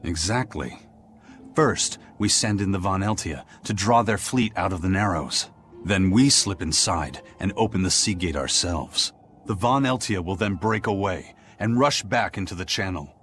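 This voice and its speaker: Very deep, grim pirate. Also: male voice, fairly bright tone, soft background music. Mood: commanding. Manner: measured, blunt, ominous. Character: grim, calm, fatalistic pirate man. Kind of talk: English video game voice acting.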